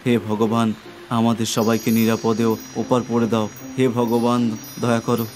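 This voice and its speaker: A man voicing a kind, pious dosa stall keeper with an earnest, polite manner in an animated folk tale. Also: mid-range voice, normal speed, slight background noise, close to an everyday voice.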